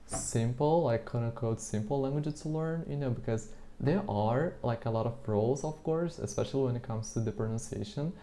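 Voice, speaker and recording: A man whose first language is Portuguese talks in English with a mellow, darkish tone and a middling pitch. He rambles in a friendly, hesitant way, stacking "like" and small restarts as he searches for words. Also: normal speed, hardly any pauses. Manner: chatty, hesitant, leans heavily on the filler "like". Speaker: interviewer practicing English. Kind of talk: casual street conversation.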